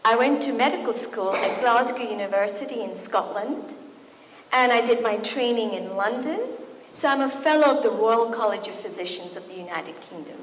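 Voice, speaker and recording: Woman, middle-aged, from Kenya, physician lecturing, fairly high voice, echoey hall. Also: normal speed. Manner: warm and storytelling, with lively asides. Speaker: physician and speaker. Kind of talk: lecture talk to an audience. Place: university hall. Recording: echoey hall, faint noise.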